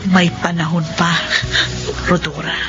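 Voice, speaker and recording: A lowish-voiced older sister with a Filipino accent played by a radio actress, gentle and reassuring. The slightly muffled drama recording has music clearly underneath. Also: female voice, monotone, unhurried, natural voice. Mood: soothing, concerned. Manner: soothing, reassuring.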